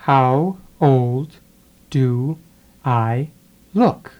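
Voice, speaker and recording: Super slow english drill voice, says each word on its own with gaps, mid pitch. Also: muffled recording. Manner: deliberate, pausing after each word. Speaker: English teacher.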